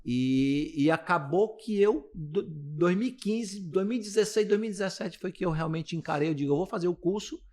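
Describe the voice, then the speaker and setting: A man's voice: high-pitched, normal speed, hardly any pauses, mellow. A man in his late fifties with a Brazilian accent, a physiotherapist being interviewed, talking casually and rambling with many restarts. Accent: Brazilian Portuguese. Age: 50s. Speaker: physiotherapist guest.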